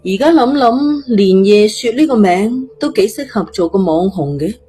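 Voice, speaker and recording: A woman's voice: mid-range voice, animated, normal speed, mellow, faint music behind, natural voice. A woman voicing a brash, chatty, blunt teenage girl with a morbid streak, speaking colloquial Cantonese in an audio story. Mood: wistful. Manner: cheeky, blunt, colloquial, muttering to herself.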